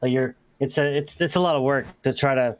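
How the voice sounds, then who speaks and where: A young man on a podcast talks in an easygoing way, taking his time to explain things. He often restarts his sentences, and his voice sits at an ordinary pitch for a man. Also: a little fast, no echo. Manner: relaxed, explains at length with restarts. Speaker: podcast co-host. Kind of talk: casual chat.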